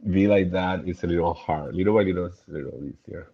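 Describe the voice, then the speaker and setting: Young Argentina-born man, deep and mellow, easygoing chat over dull lo-fi audio. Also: quick pace, vocal fry. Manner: laughs often, easygoing, trails off softly. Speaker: guest. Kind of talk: casual chat.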